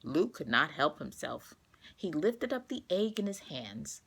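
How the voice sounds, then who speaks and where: Lively storybook woman with a creaky edge. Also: low voice, a little slow. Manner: expressive, clearly paced storytelling for young listeners. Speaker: children's story reader. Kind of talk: read-aloud children's storybook narration.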